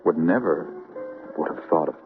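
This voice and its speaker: A deep-voiced, fatherly skeptic in an old radio mystery. He reasons patiently with lively swings of pitch, on a muffled, hissy recording. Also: moderate pace, over music. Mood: reasoning, exasperated. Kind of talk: 1970s radio drama acting.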